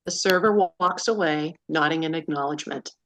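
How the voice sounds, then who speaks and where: Woman narrator, lowish pitch, scripted stage directions, screenplay table-read delivery. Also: a little fast, low-quality recording.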